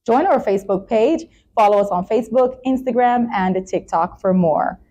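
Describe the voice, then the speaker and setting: A woman's voice: medium pitch, lively intonation, normal speed, mellow, lo-fi sound. A woman hosting a talk show, presenting and interviewing in an encouraging way.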